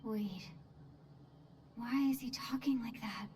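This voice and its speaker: A woman's voice: fairly high pitch, slow pace, mellow, slight background noise. A woman voicing a puzzled, earnest young video game adventurer in a hesitant, musing manner.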